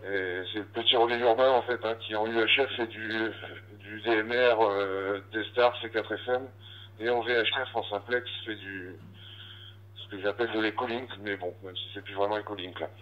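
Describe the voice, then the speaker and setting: A man's voice: fairly low pitch, fairly even intonation, moderate pace. A man chatting informally as an amateur radio operator over a dull, band-limited digital radio link, explaining things in a hesitant, conversational way. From northern France. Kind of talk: casual radio chat, explaining. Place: outdoors in the street, walking. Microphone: handheld radio transceiver.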